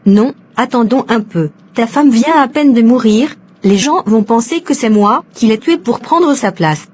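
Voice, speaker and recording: An actress playing a cautious, money-minded secret mistress in an animated soap drama, evasive and coaxing. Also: female voice, on the high side, unhurried, a little hiss or hum.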